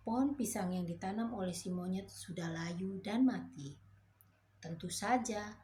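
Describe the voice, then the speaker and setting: A woman narrating a children's fairy tale in a slow, clear storybook manner. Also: lowish voice, darkish tone, slight background noise.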